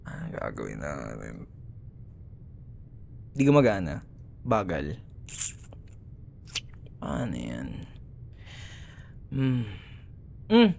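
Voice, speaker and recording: A man's voice: a bass voice, wildly swooping pitch, extremely slow, gravelly, pauses often, a little mellow, slight background noise, exaggerated voice. A young man with a Filipino accent playing a cocky, teasing, easily annoyed college rival in an ASMR roleplay audio. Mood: grudging, businesslike. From Philippines. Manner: teasing, sarcastic, exasperated.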